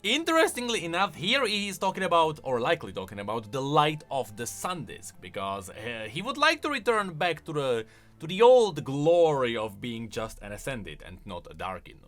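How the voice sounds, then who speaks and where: A man's voice: fairly high pitch, big pitch swings, normal speed, a bit bright, faint music behind. A man hosting a video, giving casual, enthusiastic commentary on game lore and explaining as he goes. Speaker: video host.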